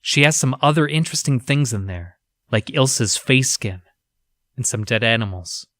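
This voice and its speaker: An actor playing an educated, haunted research scientist, reading his journal for an audio drama in a formal, measured, clinical manner. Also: mid-range voice, animated, fairly bright tone, no echo, clean studio sound, natural voice. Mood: grim, uneasy.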